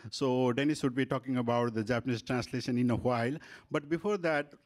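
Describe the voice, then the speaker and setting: A middle-aged man from Nepal, not a native English speaker, moderating a conference workshop and speaking through a handheld microphone in a meeting room, with many fillers and restarts. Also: mid-range voice. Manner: halting, frequent fillers and restarts. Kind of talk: conference panel presentation. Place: conference room with panel table.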